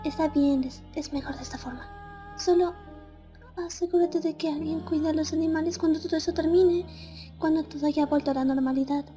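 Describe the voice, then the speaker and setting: A woman voicing a gentle, self-sacrificing female pegasus pony in a soft, reassuring cartoon fandub manner. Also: sits high, steady tone, brisk, soft background music, lightly acted. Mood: gentle, resigned.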